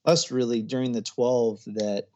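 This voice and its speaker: A chatty man in his thirties talks casually as an interview guest, laughing often and restarting his sentences. His voice sits at a middle pitch. The audio is a little dull and lo-fi. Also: fairly even intonation, unhurried, mellow. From grew up overseas, American parents. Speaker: guest filmmaker.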